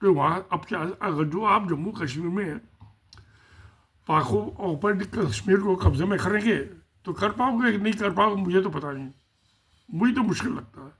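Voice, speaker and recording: A man's voice: high voice, normal speed, fairly bright tone, slightly rough. A man giving casual spoken political commentary, musing and repeating himself, asking rhetorical questions.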